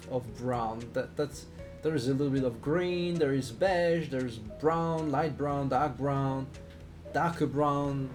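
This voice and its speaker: Slow-talking man with a Swiss accent. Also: medium pitch. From Switzerland. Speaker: flight simulator streamer. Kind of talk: casual live stream chat while playing.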